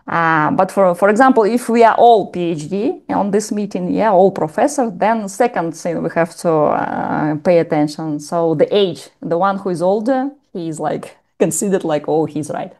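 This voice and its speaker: A woman with a gravelly voice, giving an informal lecture to a group, halting often with fillers and restarts. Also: fairly low pitch, darkish tone. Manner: hesitant, many fillers and restarts.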